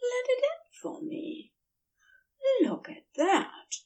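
A woman voicing a gentle, doting male angel in a podfic reading, speaking in a hushed, soothing murmur. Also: very high-pitched, wildly swooping pitch, slow, recorded from a distance, voice pushed up, exaggerated voice. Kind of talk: podfic reading of fan fiction.

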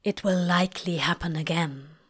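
A woman voicing a laid-back, plain-spoken man in a storybook, gently reassuring and a little hesitant. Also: takes it slowly. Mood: casual, matter-of-fact. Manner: low-key, matter-of-fact, hesitant.

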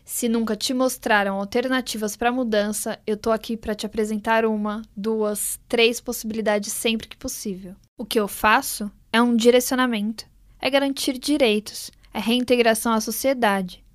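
A woman delivering a forceful scripted dramatic monologue as a podcast narrator. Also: on the high side, steady tone, moderate pace. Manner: declamatory and forceful.

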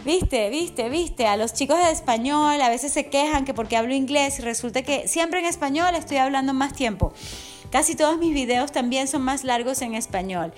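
Upbeat middle-aged woman, higher voice. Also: lively intonation, normal speed, faint music behind. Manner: enthusiastic, affectionate, chatty. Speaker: wellness coach podcast host. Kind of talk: motivational monologue.

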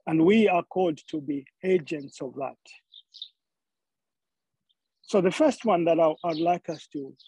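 A man's voice: a little high, unhurried, pauses often, mellow, slightly rough, no echo, low-quality recording. A man from Zambia preaching a sermon, often repeating words as he searches for the next phrase.

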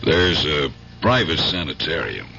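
A firm police captain in a seventies radio play. His very deep, creaky voice has a hard edge as he patiently patronizes. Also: sing-song, a little slow, sharp tone, soft background music, exaggerated voice. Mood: firm, placating. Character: firm, patronizing male police superior, calmly reasoning. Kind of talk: 1970s radio drama acting. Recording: dull, noisy 1970s radio recording.

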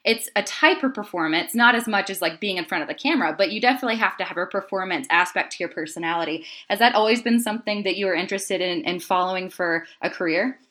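A woman's voice: mid-range voice, quick pace, hardly any pauses, a bit bright. A woman hosting her podcast, asking questions with friendly enthusiasm. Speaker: podcast host.

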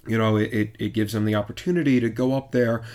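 A man's voice: fairly low pitch, fairly even intonation, quick pace, a little mellow. A man chatting casually on a podcast about leading chants as a capo, easygoing and loose, with frequent restarts and fillers. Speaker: supporters' group capo.

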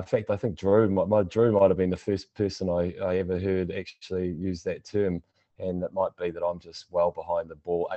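A man's voice: deep voice, darkish tone, dry recording. A man hosting a podcast interview in a casual, rambling way, often repeating words as he searches for the phrasing. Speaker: podcast host. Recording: dull lo-fi sound.

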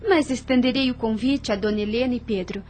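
A woman's voice: fairly high pitch, a little fast, darkish tone, lightly acted. A woman playing a young farm heiress. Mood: composed. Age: young adult. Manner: composed and formal, curt when displeased. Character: Maria, young woman heir of a farm, gentle but firm. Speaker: actress. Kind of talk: radio drama acting.

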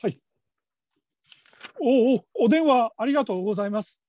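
A woman's voice: on the high side, expressive, normal speed, mellow, no room sound, muffled recording, close to an everyday voice. A woman playing a nervous, humble call-centre trainee in her fifties in a radio drama, stammering and stiffly over-polite. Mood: flustered. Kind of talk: Japanese radio drama acting.

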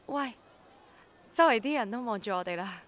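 Woman acting high-voiced, spirited young Hong Kong woman. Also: normal speed, natural voice. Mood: earnest encouraging. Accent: Hong Kong Cantonese. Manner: colloquial, expressive. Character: spirited young woman, hesitant but willing rain girl. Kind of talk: Cantonese radio drama acting and narration.

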